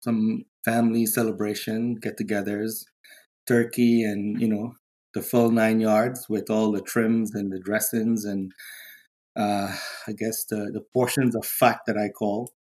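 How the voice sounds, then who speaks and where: A middle-aged man from Trinidad, a podcast guest chatting warmly and pausing often with fillers. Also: on the low side, little pitch movement. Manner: warm, talks with many fillers and pauses. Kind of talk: casual interview chat.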